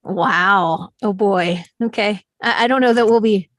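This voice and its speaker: A woman's voice: mid-range voice, unhurried, fairly bright tone, no echo, studio quality. A woman chatting casually in a group conversation.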